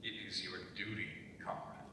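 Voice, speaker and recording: A cold, commanding Soviet superior acted by a man in a staged drama reading. His low voice has a sharp edge and sounds far away in a hall with long echo. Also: flat delivery, normal speed. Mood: cold, severe. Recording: echoing hall, distant mic, faint noise.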